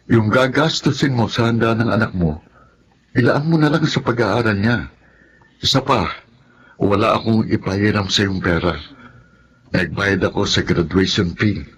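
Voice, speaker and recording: An actor playing an earnest, preachy younger brother, a new graduate, in a Filipino radio drama. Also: male voice, fairly low pitch, a little hiss or hum, lightly acted.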